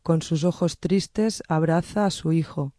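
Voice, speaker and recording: Slow calm poetry reading, soft measured voice, mellow tone, dry close sound. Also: mid-range voice, no echo. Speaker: poetry reader.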